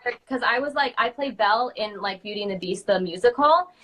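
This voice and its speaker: A woman with a bright, crisp-edged voice pitched a little high chats casually as a co-host. She is informal and agreeable, with a giggly way of talking. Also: a little fast, low-quality recording. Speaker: children's party princess performer, co-host. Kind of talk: casual chat.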